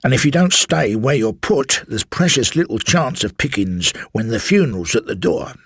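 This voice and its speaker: A man voicing a shabby, middle-aged former gentleman's servant in a story reading, talking in an insistent, respectful, confiding manner. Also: mid-range voice, expressive, moderate pace, breathy edge, no echo, clean studio sound, voice pushed up. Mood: confiding, grumbling. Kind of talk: dramatised literary reading.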